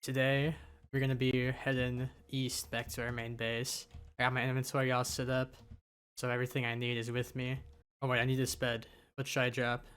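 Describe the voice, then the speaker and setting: A man's voice: medium pitch, monotone, normal speed, a bit bright, clean tone. A young man who is a little sick, giving rambling, casual commentary over his gameplay. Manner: rambling, offhand, with many fillers. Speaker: gaming YouTuber.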